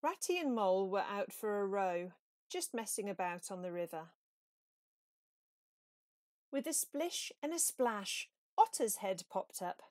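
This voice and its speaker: Unhurried woman reading a children's story. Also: medium pitch, no echo, studio quality. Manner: measured, expressive read-aloud. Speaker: storybook reader. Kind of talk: scripted children's story narration.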